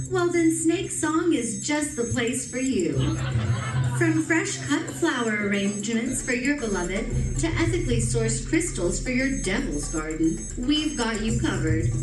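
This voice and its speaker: A woman reading a scripted sponsor advertisement in the manner of an old-time radio announcer. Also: fairly high pitch. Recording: roomy, distant pickup, music behind.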